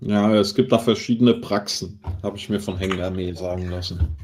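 A man chatting casually on a panel show, with wry, deadpan asides. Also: sits low, normal speed, slight background noise. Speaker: panelist on a live talk show. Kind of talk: casual chat with dry asides.

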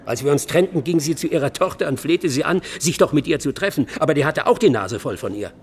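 A man's voice: a little high, expressive, fast talker, husky, big-room sound, faint music behind. An actor playing a defensive, bitter middle-aged bar owner in a German crime radio play, evasive and coarse as he justifies himself under questioning. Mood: agitated, self-justifying. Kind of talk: German radio drama acting.

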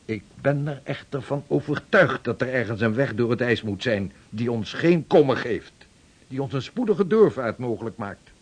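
A man's voice: medium pitch, animated, normal speed, husky. A man playing a hearty returning seaman. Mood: earnest. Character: seafaring man back from Arctic voyage, adult. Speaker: actor in radio play. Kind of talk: radio drama acting.

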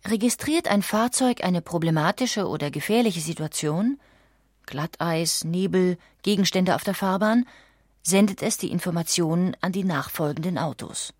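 Low-voiced female German documentary narrator. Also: normal speed, darkish tone, dry recording, clean studio sound. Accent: standard German. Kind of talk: scripted documentary audiobook narration.

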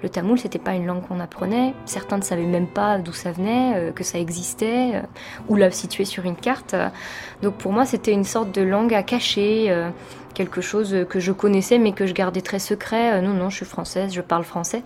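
A woman's voice: medium pitch, darkish tone, faint music behind. Thoughtful, hesitant French-born woman. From France. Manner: hesitant, thoughtful, many filler pauses. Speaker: interviewee, philosophy teacher. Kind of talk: reflective personal testimony.

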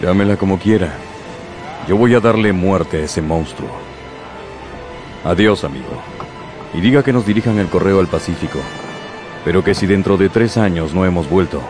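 A man plays a brooding whaling captain in a radio drama. His voice is very low, solemn and commanding, full of vengeful defiance, with music behind him. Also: moderate pace, long pauses, natural voice. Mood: defiant, vengeful.

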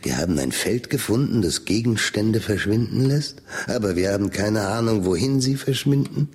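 A man's voice: lowish voice, expressive, normal speed, a little mellow, slightly rough. A man playing a thoughtful, reserved scientist in a radio drama, speaking in a hesitant, reflective way. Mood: earnest, explaining. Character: thoughtful, reserved male scientist and wealthy employer.

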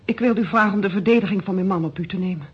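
A determined, formal lady in a vintage radio play. She speaks quickly in a very husky voice, polite but purposeful. The old recording is muffled. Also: mid-range voice. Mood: tense, resolute. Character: formal, determined married lady seeking legal help. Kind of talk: old radio drama acting.

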